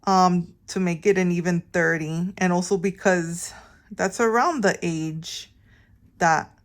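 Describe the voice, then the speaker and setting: A woman's voice: fairly low pitch, steady tone, slow pace. A middle-aged woman from Miami chatting casually to her viewers in a rambling, informal way, often interrupting herself. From Miami, Florida, United States. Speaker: vlog host. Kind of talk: casual chatty personal storytelling.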